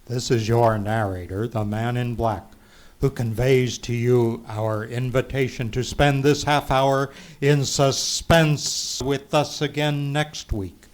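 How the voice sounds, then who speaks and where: Man as an ominous, slow-talking suspense narrator. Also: medium pitch, steady tone, close to an everyday voice. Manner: measured, theatrical. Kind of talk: 1940s radio suspense narration.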